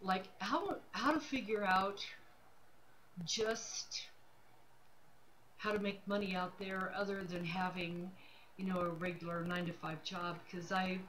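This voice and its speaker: A woman's voice: medium pitch, fairly even intonation, a little slow, long pauses, fairly bright tone. Woman near sixty, noisy room recording. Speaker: livestream host, self-employed realtor. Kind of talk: casual personal talk to camera.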